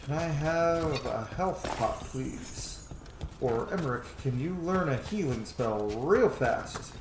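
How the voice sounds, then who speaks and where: A man's voice: mid-range voice, lively intonation, takes it slowly, reverberant, noisy background. A man giving casual, informal let's-play commentary, talking to the game as he plays and swearing freely. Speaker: video game streamer, player. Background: game music.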